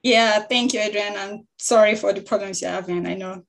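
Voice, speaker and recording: A woman's voice: mid-range voice, steady tone, moderate pace, gravelly. A woman moderating an online panel discussion over a video call. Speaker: panel chair.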